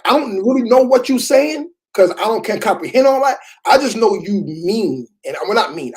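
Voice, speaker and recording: A man's voice: sits high, darkish tone, husky. A man in his thirties in casual chat about parenting, laid-back and repetitive, with many fillers.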